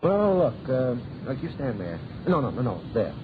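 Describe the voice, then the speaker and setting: Man acting a lively, jittery American newsreel cameraman. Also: mid-range voice, normal speed, close to an everyday voice. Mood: brisk. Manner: hesitant, slangy, animated. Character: nervous, wisecracking city newsreel cameraman. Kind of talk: 1930s-40s radio acting. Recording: muffled, noisy old radio recording.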